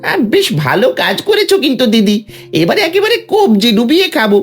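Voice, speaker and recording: A woman's voice: sits high, sing-song, fast talker, slightly rough, exaggerated voice. A woman voicing a hearty, food-loving village diner in a cartoon dub. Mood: pleased. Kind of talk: Bengali cartoon dubbing. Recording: music behind the voice.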